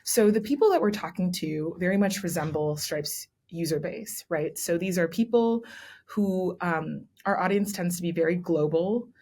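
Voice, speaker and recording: A woman's voice: fairly low pitch, moderate pace, a little mellow. A young adult woman, raised in Texas, giving articulate, explanatory interview answers as a guest, often adding "right?". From born in Nigeria, raised in Texas. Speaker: guest, commissioning editor.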